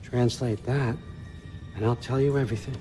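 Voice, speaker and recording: A man's voice: medium pitch, fairly even intonation, moderate pace, music in the background, close to an everyday voice. A man playing a sly, cagey patriarch in a video game, coaxing and self-assured, with clipped phrasing. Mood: sly, confident. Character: sly, commanding, cagey patriarch, smooth and testing. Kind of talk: dramatic video game cutscene acting.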